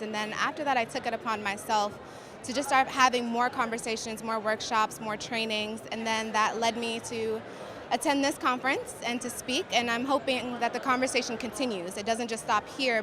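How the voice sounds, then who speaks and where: A woman from Uganda, a conference panelist, answering interview questions in a composed, articulate way. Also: on the high side. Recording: crowd chatter behind.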